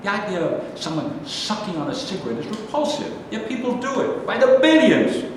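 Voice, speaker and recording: A man plays an aggrieved, neurotic grumbler in a staged play, his fairly high voice swinging up and down with indignation. He is heard from far off in a very echoey hall. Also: big pitch swings, moderate pace, noisy background. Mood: incredulous ranting. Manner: indignant, complaining, emphatic.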